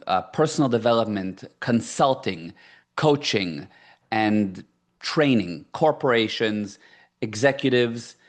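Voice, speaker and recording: A middle-aged man born in South Africa whose first language is Hebrew, speaking as an entrepreneur guest in a casual podcast interview and stressing his points by repeating them. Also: lowish voice. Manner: emphatic, repeats key phrases.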